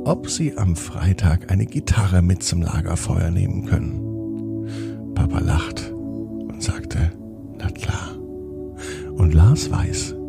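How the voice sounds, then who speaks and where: A man narrating a children's bedtime story gently and expressively, with a husky, gravelly voice, speaking to the child listener. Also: low-pitched.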